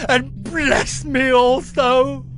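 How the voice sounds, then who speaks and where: An anguished, furious Egyptian ruler in a biblical audio drama. His voice is high and strained, issuing slow, imperious orders over prominent music. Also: level tone, a bit bright, heavily acted. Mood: anguished, angry. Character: Pharaoh, anguished angry male Egyptian king. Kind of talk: dramatized scripture acting.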